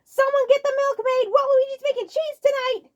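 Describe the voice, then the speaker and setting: A woman's voice: extremely high pitch, steady tone, moderate pace, highs cut off, voice pushed up. A woman voicing a goofy, cocky, exuberant skinny man in a cow costume, brash and exclamatory, for cartoon fan fiction. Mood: excited. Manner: brash, exclamatory, prone to yelling.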